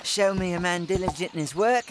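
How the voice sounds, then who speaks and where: An actor playing a kind, diligent village boy in a historical audio drama, gentle and encouraging. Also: mid-range voice, fairly quick, over music, lightly acted. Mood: encouraging, amused.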